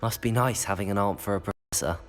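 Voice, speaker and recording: An actor playing a polite, curious young student wizard, the hero of a video game. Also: male voice, lowish voice, quick pace, faint music behind, natural voice. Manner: polite, earnest.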